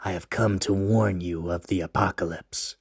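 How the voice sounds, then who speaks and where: A man voicing a mysterious winged stranger who speaks low, hushed and slow in a storybook reading. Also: steady tone, vocal fry, husky, dry recording, studio quality, heavily acted. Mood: ominous. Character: mysterious winged male stranger, calm and ominous.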